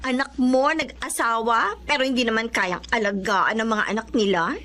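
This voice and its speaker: An actress in a Filipino radio drama playing a spirited, devout single middle-aged daughter who mixes Tagalog and English expressively. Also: female voice, a little high, moderate pace, bright, forceful tone, a little hiss or hum. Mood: teasing. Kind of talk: Filipino radio drama acting and first-person narration. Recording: music behind the voice.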